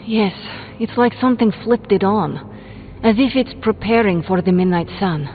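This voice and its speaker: An actress playing a cocky, playful young sorceress in a video game, casual and teasing. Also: female voice, medium pitch, normal speed, phone-quality sound, slight background noise, lightly acted. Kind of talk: scripted video game dialogue.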